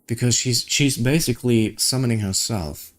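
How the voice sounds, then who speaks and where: Male game streamer, mid-pitched, informal read-aloud of story dialogue with offhand comments and stumbles, light music behind. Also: moderate pace. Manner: informal, stumbles over words while reading. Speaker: gaming streamer. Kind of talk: game playthrough, reading story dialogue aloud with casual asides.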